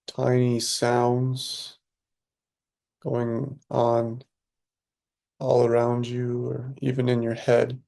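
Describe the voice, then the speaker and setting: A man leading a guided meditation as a teacher, speaking calmly and unhurriedly with long pauses. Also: mid-range voice, gravelly.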